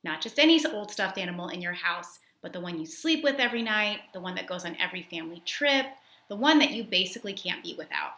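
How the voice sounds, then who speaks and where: A woman's voice: fairly low pitch, animated, fast, sharp tone. Woman reading a storybook to children. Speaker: school librarian reading aloud. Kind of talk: children's storybook read-aloud.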